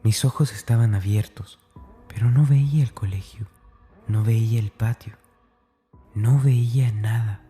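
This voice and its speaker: A man's voice: on the low side, fairly even intonation, unhurried, pauses often, warm tone, faint music behind, lightly acted. An actor playing a tormented, fearful schoolboy, narrating his diary in a confiding, anguished, dramatic way. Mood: anguished, agitated.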